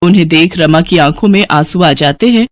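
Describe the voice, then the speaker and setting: A man's voice: on the high side, moderate pace, slight background noise. A man narrating a scripted moral story for a cartoon.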